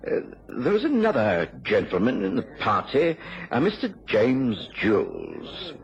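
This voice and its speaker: Casting: courteous British doctor narrating detective tales, hugely varied intonation, dull old radio sound. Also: male voice, lowish voice, wildly swooping pitch, a little slow, gravelly, exaggerated voice. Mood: reflective, narrating. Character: Doctor Watson, courteous gentleman doctor recounting his detective friend's cases. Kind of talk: radio drama narration and dialogue. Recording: dull radio recording with music behind.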